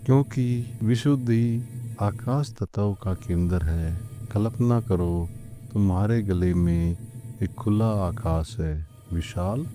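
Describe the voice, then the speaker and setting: A man leading a scripted guided meditation in a calm, soothing, gently instructing way, leaving long pauses. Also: deep voice. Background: background music.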